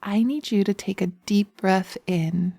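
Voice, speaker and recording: A woman voicing a poised, nurturing, gently bossy witch in an audio roleplay, with a genteel, coaxing and chiding manner. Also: medium pitch, measured pace, creaky, dry recording. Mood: soothing.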